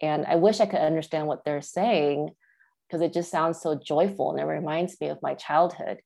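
A woman's voice: sits low, normal speed, vocal fry, warm tone. A woman author from Taiwan, whose first language is Mandarin Chinese, answering interview questions thoughtfully, with fillers and restarts. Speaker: author guest.

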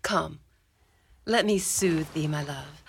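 A woman playing a devoted, anxious wife in an amateur Shakespearean radio drama, speaking in a measured, earnest manner. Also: low voice, slightly rough, heavily acted. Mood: tender, weary. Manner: measured, earnest archaic delivery.

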